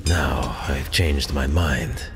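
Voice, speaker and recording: An actor playing a grave, commanding ancient king in an audio drama, speaking in a slow, imperious and terse manner. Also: rumbling low, noisy background, exaggerated voice. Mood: defiant. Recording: long hall reverb, music underneath.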